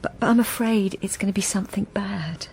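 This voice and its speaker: An actress playing a troubled middle-aged British wife who speaks hesitantly and confidingly in a radio drama. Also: female voice, medium pitch, brisk, a little mellow, slight background noise, close to an everyday voice. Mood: anxious. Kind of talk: intimate first-person radio drama narration and dialogue.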